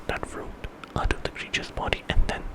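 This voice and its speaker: A man telling a bedtime story in ASMR style, in a breathy whisper and an informal, conversational way. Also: moderate pace, rough recording. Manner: informal retelling with quoted dialogue.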